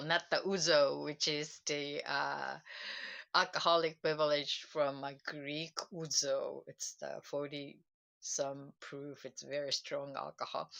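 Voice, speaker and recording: A woman with a Japanese accent, a native Japanese speaker, giving a reflective solo livestream talk in halting English, pausing to search for words. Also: sits low, gravelly, fairly bright tone. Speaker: self-help channel host.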